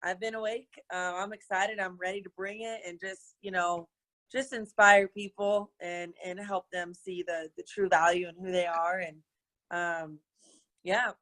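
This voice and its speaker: Raspy-voiced bright woman guest. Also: on the low side, unhurried, poor audio. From Colorado, USA. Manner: energetic, laughs often, many fillers. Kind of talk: casual interview chat. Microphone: webinar video call.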